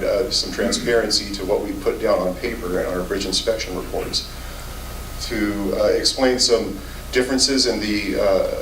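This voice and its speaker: A hoarse, fairly low-voiced man works through a technical talk at a public meeting, pausing on filler sounds as he goes. He is caught from a distance in an echoey hall with a faint hiss underneath. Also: fairly bright tone. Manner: measured, technical, with frequent "uh" fillers. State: hoarse from a cold. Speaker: state bridge inspection office chief.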